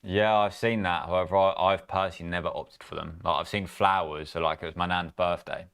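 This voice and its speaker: A young man co-hosting a market show, talking in a relaxed, offhand way. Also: low-pitched, fairly quick, creaky, clear, resonant tone. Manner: relaxed, offhand, casual phrasing. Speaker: co-host at a trading firm. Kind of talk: casual chat.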